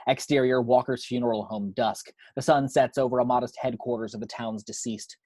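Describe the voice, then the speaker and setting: A man's voice: mid-range voice, fairly even intonation, a little fast, darkish tone, no echo. A man reading screenplay action and scene headings as narrator for a table read at home. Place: at home, dry close recording.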